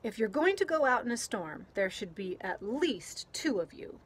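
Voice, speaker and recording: A woman's voice: fairly high pitch, very animated, normal speed. A woman voicing a kindly old fisherman grandfather in a picture book read-aloud. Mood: reassuring. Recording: clear outdoor background noise.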